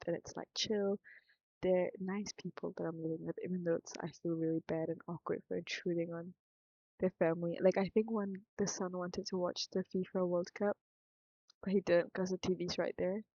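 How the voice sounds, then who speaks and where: A woman's voice: fairly low pitch, mellow, no room sound, muffled recording. A young woman from Singapore with a Singaporean accent, thinking aloud in a rambling, frank vlog monologue. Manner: meandering, thinks aloud, self-interrupting, frank. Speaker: vlogger.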